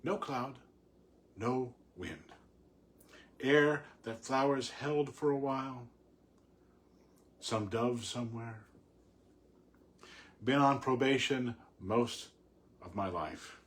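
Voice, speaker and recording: A man reading and discussing poetry informally, in a reflective, halting, explanatory way. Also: mid-range voice. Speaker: poet reading and commenting on a poem. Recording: clearly audible background noise.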